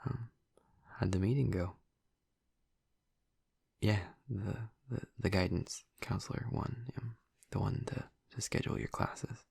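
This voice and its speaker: Hushed, gravelly young male vampire played by a man. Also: fairly low pitch, a little slow, long pauses, dry recording, clean studio sound, exaggerated voice. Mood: relaxed, rambling. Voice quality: breathy, husky, gravelly murmur. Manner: hesitant, rambling, casual. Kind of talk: intimate audio roleplay addressed to the listener.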